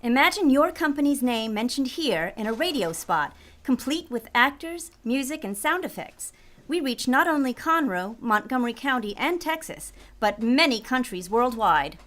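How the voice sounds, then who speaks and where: Slightly high-voiced female ad announcer. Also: sing-song, normal speed, fairly bright tone. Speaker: advertisement announcer. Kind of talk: scripted radio ad read.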